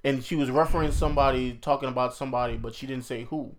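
A man's voice: mid-range voice, fairly even intonation, rapid-fire. A man co-hosting a podcast, interrupting and teasing his partner in crude, casual banter. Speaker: podcast co-host. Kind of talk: casual chat.

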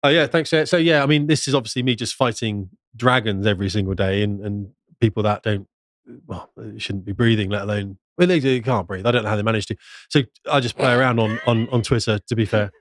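A man chatting casually and quickly as a guest on a panel show. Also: lowish voice, no room sound, studio quality. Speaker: podcast guest, flying instructor.

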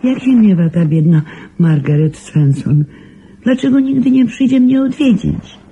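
An actress playing a very old, confused and stubborn woman in a radio drama, rambling insistently in a frail, husky voice. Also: medium pitch, lively intonation, measured pace, mellow, a little hiss or hum, natural voice, rough recording. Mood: plaintive, confused. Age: older.

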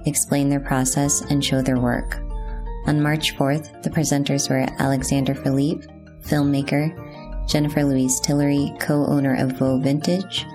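A woman reading a scripted podcast introduction in a flat, even way. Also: very deep voice, measured pace. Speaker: podcast announcer. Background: guitar music.

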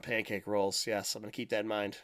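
A man's voice: fairly low pitch, flat delivery, a little fast, a bit bright. A man from the United States hosting a casual podcast chat, jokey and sweary with plenty of exclamations. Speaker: podcast host.

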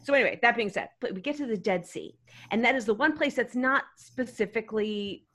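Woman spinning a funny story on a podcast, kinda high, rambly and animated. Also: brisk. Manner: animated, rambling, emphatic with "freaking". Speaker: guest storyteller. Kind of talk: comedic storytelling on a podcast panel.

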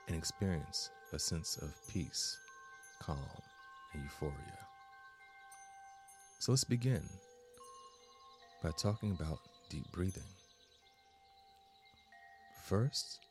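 Very deep-voiced man guiding meditation slowly. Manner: calm, deliberate, measured phrasing with long pauses. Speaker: guided meditation host and instructor. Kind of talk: slow guided meditation instruction. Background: soft meditation music with chimes.

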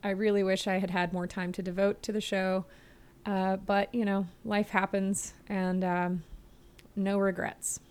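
A woman's voice: medium pitch, little pitch movement, unhurried, darkish tone, clear, resonant tone. A woman in her forties hosting her own podcast, speaking in a friendly, grateful way and laughing easily. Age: 40s. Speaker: podcast host and interviewer. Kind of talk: podcast intro and interviewing. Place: home.

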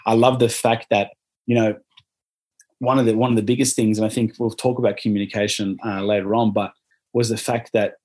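Australian man, lower register, easygoing rambling interviewee, loose and upbeat. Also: little pitch movement, fairly quick, darkish tone. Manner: rambling, upbeat, many fillers and restarts. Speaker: interviewee, former volunteer. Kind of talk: casual conversational interview.

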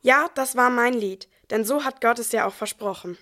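High-voiced woman as Mary, devout young mother. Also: normal speed, bright, forceful tone, faint music behind. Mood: awed, happy. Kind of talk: scripted nativity radio play monologue.

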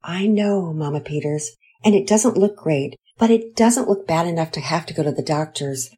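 Woman voicing a gentle, loving father. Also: low voice, normal speed, close to an everyday voice. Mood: soothing. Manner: soothing and reassuring.

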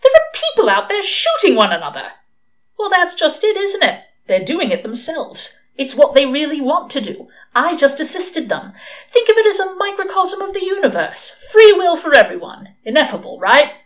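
A woman's voice: medium pitch, lively intonation, moderate pace, close to an everyday voice. A woman voicing a sardonic, impatient male demon in an audiobook, with snappy, exclamatory delivery. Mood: indignant, gleeful. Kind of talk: audiobook character reading.

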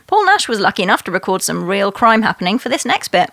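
A woman's voice: medium pitch, very animated, fast talker, gravelly, background noise. A woman chattily presenting a comedy podcast as its host.

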